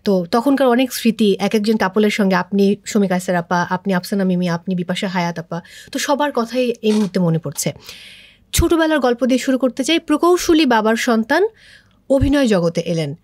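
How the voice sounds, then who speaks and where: A woman's voice: medium pitch, expressive, a little fast, darkish tone, no echo, studio quality. A woman with a Bangladeshi accent hosting a conversational television interview, mixing some English words into her speech. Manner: mixes English words into Bengali. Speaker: talk show host.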